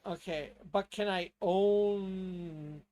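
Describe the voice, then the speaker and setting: A man's voice: sits high, fairly even intonation, extremely slow, dark tone, a little hiss or hum. A man playing a tabletop game, chatting casually and thinking aloud in a hesitant, tentative way.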